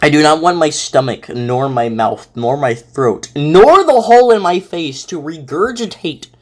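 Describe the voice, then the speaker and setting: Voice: medium pitch, lively intonation, unhurried. Someone giving casual, exclamatory reaction commentary as an online video host, often repeating words for emphasis.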